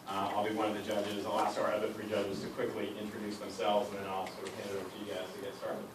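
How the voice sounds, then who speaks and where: Male judge opening the event, flat and quick, relaxed and hedgy, far mic with echo and noise. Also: on the low side. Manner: relaxed, hedging with filler phrases. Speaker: judge and competition host. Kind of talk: opening remarks.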